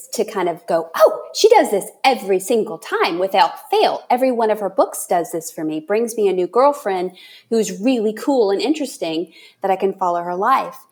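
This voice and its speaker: A woman with a mid-range voice hosts a casual interview. She is effusive and searches out loud for the right word, often doubling back to correct herself. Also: medium pitch. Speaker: podcast host.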